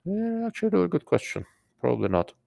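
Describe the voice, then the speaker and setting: A man's voice: sits high, huge pitch swings, fast talker, vocal fry, warm tone, no echo, studio quality. A man with an accent hosting a live stream, talking loosely and rambling with lots of fillers as he comments and answers viewers. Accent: non-native English. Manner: rambling, filler-heavy, greets chat mid-sentence. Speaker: livestream host.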